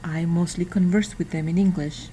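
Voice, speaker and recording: A woman's voice: on the low side, fairly even intonation, warm tone, a little hiss or hum, rough recording. A woman, carefully reading a prepared class presentation. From Mexico. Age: 50s. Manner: careful, deliberate reading with some restarts. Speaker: student.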